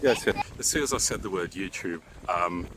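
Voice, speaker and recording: A man's voice: deep voice, normal speed, noisy background. A man whose native language is Bosnian, walking outdoors through a park and giving chatty, wordy travel vlog commentary. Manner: chatty, wordy, with sightseeing flourishes. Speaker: travel vlogger.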